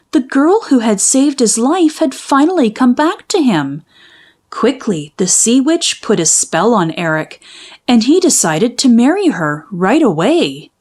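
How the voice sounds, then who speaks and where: A woman narrating a children's storybook in an expressive, measured storytelling manner. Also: a little high. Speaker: children's book read-aloud narrator.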